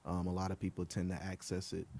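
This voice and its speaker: A man from Liberia, a guest on a podcast, talking in a relaxed, earnest way with many ums. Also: deep voice, quick pace, gravelly. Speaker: guest, outdoor group co-founder. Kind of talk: casual podcast interview.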